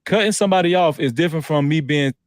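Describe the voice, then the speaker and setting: A man's voice: fairly high pitch, fast talker, dry recording, studio quality. A man on an online panel call, arguing heatedly and defensively, repeating himself and talking over the others. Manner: insistent, keeps repeating "listen, bro" and talking over others.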